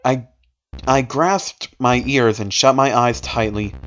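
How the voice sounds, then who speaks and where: A man's voice: mid-range voice, steady tone, slow, fairly bright tone, soft background music. Man reading a story aloud, theatrical delivery. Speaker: narrator reading horror fan fiction aloud. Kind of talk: dramatic story reading with amused asides.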